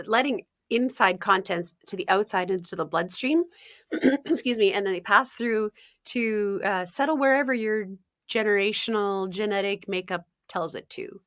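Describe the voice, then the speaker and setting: A woman speaks as a health coach in a relaxed interview. Her voice sits at a middle pitch and sounds close and dry. She chats freely, laughs often, clears her throat now and then, and leans on "um" and "uh.". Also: no room sound. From Ontario, Canada. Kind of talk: casual interview talk.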